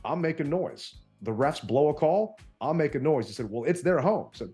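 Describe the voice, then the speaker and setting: A man co-hosting a sports podcast, telling stories in an animated, casual way, his voice a bit strained from yelling. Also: on the high side, normal speed, soft background music. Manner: animated storytelling. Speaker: podcast co-host.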